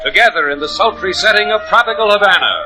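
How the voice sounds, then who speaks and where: A man's voice: high voice, fast, loud music behind the voice. An American man announcing an old radio drama in a grand, theatrical style. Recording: old radio broadcast with loud orchestra.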